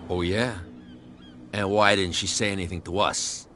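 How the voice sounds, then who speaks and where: An actor playing a surly male bootlegger thug in a video game, mocking and often hushed. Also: sits low, steady tone, moderate pace, slight background noise. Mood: sneering.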